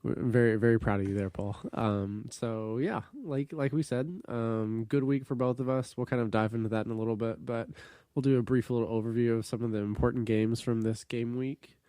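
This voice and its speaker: A man's voice: medium pitch, fairly quick, hardly any pauses, no room sound. A young man with a stuffy, nasal voice from a cold, co-hosting a casual sports podcast with many ums. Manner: relaxed, with frequent ums. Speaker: podcast co-host.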